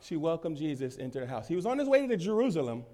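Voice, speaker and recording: Emphatic preacher with a lively, rising voice. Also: male voice, on the high side, fast. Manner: emphatic preaching, swings loud and soft, repeats phrases. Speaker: pastor. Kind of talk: sermon.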